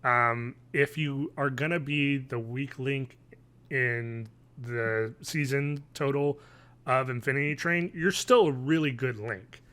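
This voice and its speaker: A man, chatting casually and haltingly as a podcast co-host from home. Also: medium pitch, a bit bright. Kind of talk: casual review chat. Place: home living room, video call.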